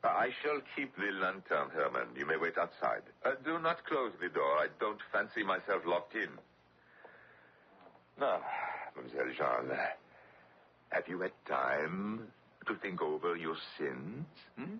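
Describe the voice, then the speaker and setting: On a dull, hissy old radio recording, a cruel interrogator and collaborator. His low voice swings widely in pitch. He is pompous and menacing, drawing out his words with theatrical relish. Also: big pitch swings, exaggerated voice. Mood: sneering menace. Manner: imperious, menacing, deliberate theatrical phrasing. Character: cruel, pompous male French collaborator interrogator, sadistic and sneering. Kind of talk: 1970s radio drama acting.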